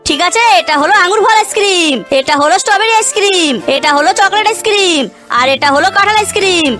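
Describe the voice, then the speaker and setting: A woman's voice: very high voice, fast, pushed and bright. A woman voicing young characters in a kids' cartoon, speaking simply and emphatically. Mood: chirpy. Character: chirpy children and mothers in a kids' cartoon. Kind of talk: cartoon dialogue. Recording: music behind the voice. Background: cartoon music.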